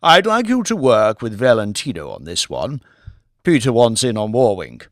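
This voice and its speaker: Man reading brisk commanding lord, older financier, audiobook figure, lively pitch. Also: no room sound, clean studio sound, close to an everyday voice.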